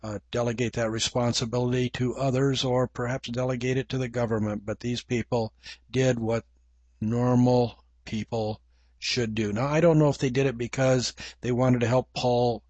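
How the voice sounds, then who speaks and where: An older man with an English accent gives a slow, reflective Bible teaching, pausing often as he thinks aloud. His voice sits at an ordinary male pitch. The sound is dry and close, with no room around it. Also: fairly even intonation, darkish tone, no echo. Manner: measured, reflective, with frequent pauses and fillers. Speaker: preacher.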